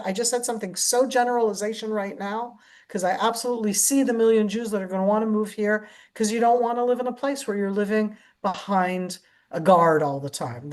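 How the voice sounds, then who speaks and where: A woman's voice: mid-range voice, a little mellow. A middle-aged American woman, a security analyst, answering a question in an online briefing with earnest emphasis and frequent restarts. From United States.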